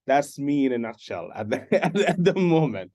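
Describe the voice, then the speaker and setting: A man from Bangladesh, guest on an interview, telling his career story in an animated, joking way. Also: mid-range voice, moderate pace. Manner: animated, jokey storytelling with laughs. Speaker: guest, animation production supervisor and writer-director. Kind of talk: casual interview talk.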